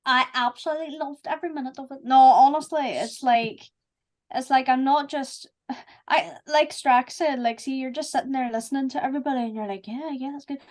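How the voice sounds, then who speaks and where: High-voiced, effusive woman chatting casually. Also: a little fast. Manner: effusive, animated storytelling with acted-out asides. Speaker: voice actor and executive producer. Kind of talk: casual cast chat.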